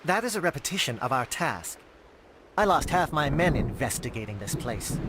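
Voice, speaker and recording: An actor playing a stern, brisk military alien captain in a video game. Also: male voice, a little high, big pitch swings, noisy background. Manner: brisk, formal military delivery.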